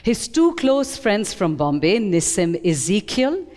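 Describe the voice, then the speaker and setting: A woman reading a prepared synopsis aloud at a live event, in measured, clear phrases. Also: medium pitch, sing-song, a little mellow. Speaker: reader at a book event. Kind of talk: scripted synopsis reading to an audience. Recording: long hall reverb.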